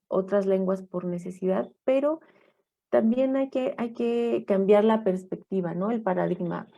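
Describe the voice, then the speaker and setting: A woman teacher leading an online class, speaking in a halting, encouraging way over a video call mic. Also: medium pitch, creaky, mellow, lo-fi sound. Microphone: computer mic on a video call.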